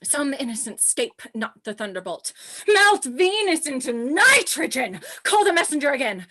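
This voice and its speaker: A volatile, high-pitched tempestuous queen. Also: very animated, normal speed, sharp tone, exaggerated voice. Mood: imperious, seething. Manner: imperious, melodramatic, volatile. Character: tempestuous, lovesick queen Cleopatra raging at a messenger. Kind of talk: theatrical Shakespearean radio play acting.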